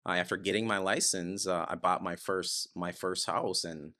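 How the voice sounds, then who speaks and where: A man in his thirties from Guyana, a realtor guest telling his story in a casual podcast chat, upbeat and energetic with frequent laughs. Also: deep voice, moderate pace. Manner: upbeat and energetic, laughs often, loose rambling phrasing.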